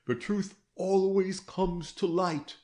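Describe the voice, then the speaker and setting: A man voicing a stern, patient older detective in a storybook reading, pressing his questions in a grave, measured way. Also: high voice, fairly even intonation, darkish tone, pitched above the performer's own voice.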